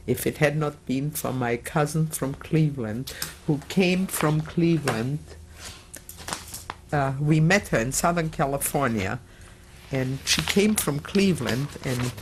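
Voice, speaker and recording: A woman in her sixties giving oral history testimony in an interview, speaking hesitantly with many filler pauses. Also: rumbling low, slight background noise. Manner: slow, measured.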